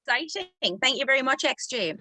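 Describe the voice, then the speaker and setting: A woman's voice: sits high, lively intonation, fairly quick, bright, forceful tone. A young woman moderating a roundtable on a video call, upbeat and polite. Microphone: laptop webcam on a video call.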